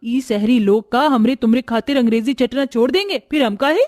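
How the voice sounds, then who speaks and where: Cartoon village bride by a woman: high voice, rural eastern Hindi dialect, spirited and stubbornly proud. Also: a little fast, darkish tone, no echo, clean studio sound, lightly acted. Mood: defiant.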